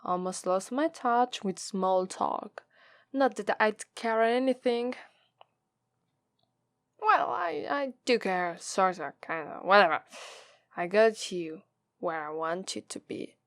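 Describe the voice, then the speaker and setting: A woman with an Italian accent voicing a gloating, teasing female demon captor in a slow, drawling ASMR roleplay. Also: medium pitch, expressive, dry recording. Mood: boastful, tipsy. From Italy. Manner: slow, drawling, taunting, playful menace.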